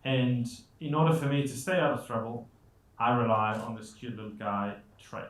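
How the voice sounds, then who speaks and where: A man's voice: medium pitch, moderate pace. A man from Colombia giving a conversational motivational talk to an audience, with self-deprecating humour and rhetorical questions. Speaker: guest speaker. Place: indoor event stage. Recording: echoey room.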